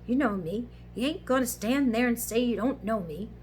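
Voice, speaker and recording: Woman putting on a lively Cockney cabman, earnest and chatty. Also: a little high, moderate pace, slight background noise, pitched above the performer's own voice, close to an everyday voice. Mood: coaxing. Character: chatty working-class London cabby, man, earnest and good-natured.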